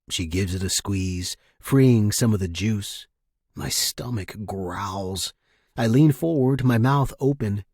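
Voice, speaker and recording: A man reading first-person fiction as a narrator, in a measured, deliberate and dramatic way. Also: medium pitch, a little mellow, slightly rough, no echo, studio quality. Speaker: story narrator.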